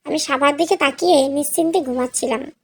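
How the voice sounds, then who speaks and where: A woman's voice: sits high, fairly quick. A woman reading a scripted first-person emotional story in a dramatic, sorrowful manner. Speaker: story narrator.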